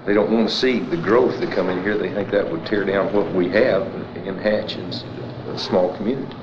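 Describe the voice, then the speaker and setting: Deep-voiced American man in echoey room. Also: a little fast, noisy background. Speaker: small-town interviewee. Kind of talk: unscripted interview talk. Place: small panelled office, indoors. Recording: echoey, dull 1970s film interview sound.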